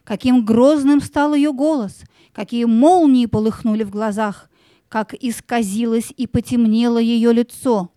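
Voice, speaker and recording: A woman's voice: on the high side, fairly even intonation, unhurried, warm tone, no echo, clean studio sound. A woman narrator telling a fairy tale expressively in an audio play.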